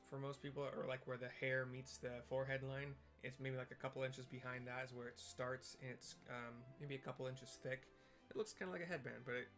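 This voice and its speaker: A man giving improvised audio description of a game scene, halting and searching for plain comparisons as he goes. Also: medium pitch, fairly bright tone. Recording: game music behind the voice. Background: game music.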